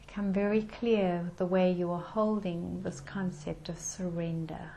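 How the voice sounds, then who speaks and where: A woman leading a guided meditation in a gentle, soothing manner with unhurried pauses. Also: lowish voice, steady tone, vocal fry.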